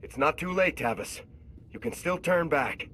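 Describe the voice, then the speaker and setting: An actor playing a loyal, idealistic soldier in a video game, earnest and defiant. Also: male voice, a little high, lively intonation, normal speed, fairly bright tone, a little hiss or hum. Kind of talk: video game cutscene acting.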